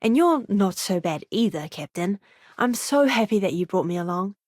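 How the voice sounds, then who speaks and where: A woman voicing an earnest, eager young ship's doctor in a video game, with a polite, expressive manner. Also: medium pitch, moderate pace, no echo, clean studio sound, lightly acted. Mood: eager, friendly. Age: young adult. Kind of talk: game character voice lines.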